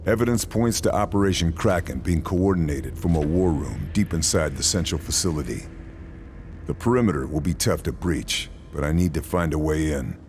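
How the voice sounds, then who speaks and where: A deep-voiced, stoic wartime sniper. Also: male voice, animated, normal speed, darkish tone, slight background noise. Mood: grave, determined. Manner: terse, grave, understated. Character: stoic Allied sniper narrating his wartime missions. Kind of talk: scripted cinematic mission narration.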